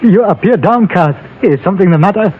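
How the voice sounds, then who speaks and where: A man playing a polite, trusting Chinese storekeeper with a Chinese accent in a Western radio drama, speaking in formal, measured phrases. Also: high voice, animated, breathy edge. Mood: polite, puzzled. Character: courteous, trusting older Chinese storekeeper and mine owner. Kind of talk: old-time Western radio drama acting. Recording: muffled old radio recording.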